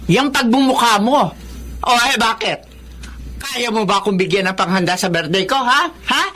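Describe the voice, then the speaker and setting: A woman's voice: medium pitch, moderate pace, fairly bright tone, natural voice. An actress playing a mocking, money-minded Filipino mother in a family drama, with a dismissive way of talking. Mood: mocking, scornful. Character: scornful, mocking, money-minded mother belittling her son.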